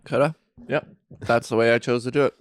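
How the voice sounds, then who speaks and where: A man's voice: medium pitch, fairly quick, no echo, studio quality. A young man co-hosting a podcast from a friend's basement, in rambling casual chat. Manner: rambling, animated storyteller. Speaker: podcast co-host.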